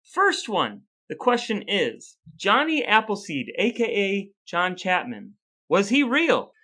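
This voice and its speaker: Lively, bright-voiced joking man. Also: sits high, takes it slowly. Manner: playful, quizzes and jokes. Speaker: podcast co-host. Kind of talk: casual chat.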